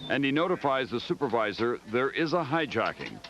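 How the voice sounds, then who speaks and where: A man reading scripted news documentary narration in a measured, dramatic way. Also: medium pitch, very animated, crowd noise. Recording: dull audio with faint background noise.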